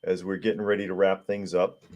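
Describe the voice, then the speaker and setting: A man with a sore, hoarse throat who hosts a live podcast, reading viewer comments aloud in a plain-spoken, casual way. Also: low voice, level tone, a little fast, darkish tone. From United States. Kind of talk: casual live-stream chat.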